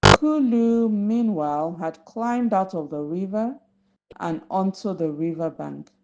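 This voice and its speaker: A woman narrating a folktale for young children as a storyteller, deliberate and instructive. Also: medium pitch, expressive, very noisy. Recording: slightly dull lo-fi recording.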